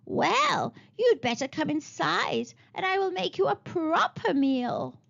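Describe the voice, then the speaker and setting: A wicked old storybook witch, acted out by a woman, croaks and cackles in a high voice. She draws her words out slyly and swings her pitch around, pretending kindness while she schemes. The recording is slightly dull. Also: very animated, slow, a bit bright, heavily acted. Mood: wheedling.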